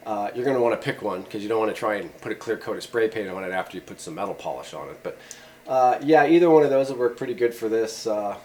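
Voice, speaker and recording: A man's voice: fairly low pitch, expressive, fast, darkish tone. A man giving a casual, rambling how-to demonstration at a workshop bench, with many "uh" and "you know" fillers. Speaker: channel host showing radio antennas.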